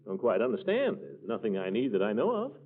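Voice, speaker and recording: A man's voice: lowish voice, huge pitch swings, brisk, breathy edge, exaggerated voice. A man playing a blustering, pompous small-town uncle with an exclamatory manner in a 1940s radio comedy. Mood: indignant. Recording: muffled narrow-band 1940s radio recording.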